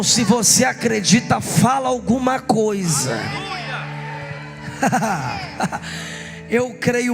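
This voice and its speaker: Hoarse pastor exhorting his congregation. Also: sits high, big-room sound. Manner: exhorting the congregation, calling out to the crowd. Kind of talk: church service preaching and leading song. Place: church hall. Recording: live church service with music.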